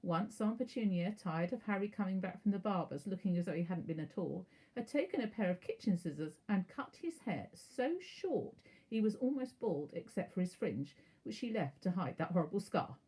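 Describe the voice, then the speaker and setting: A woman narrating a children's storybook aloud as a teacher would, in an expressive, measured way. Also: medium pitch, breathy edge, rough recording.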